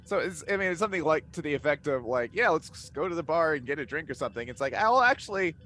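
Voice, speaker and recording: A man chatting casually in a group as a cast member answering fan questions, telling things in an animated, informal way. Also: fairly high pitch, fast.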